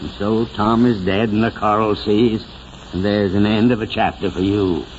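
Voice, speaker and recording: An actor playing an elderly, sentimental small-town mayor with a solemn, oratorical manner, in 1940s radio drama style. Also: male voice, on the low side, steady tone, close to an everyday voice. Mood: grieving, tender. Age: older. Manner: oratorical, solemn, drawn-out phrasing. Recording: muffled, hissy 1940s radio recording.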